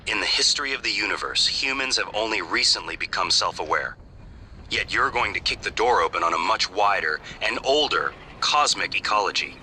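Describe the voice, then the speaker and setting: An actor playing a calm, logical artificial intelligence advisor in a video game, speaking in a measured, articulate, matter-of-fact way. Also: male voice, fairly low pitch, slight background noise. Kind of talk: scripted video game acting.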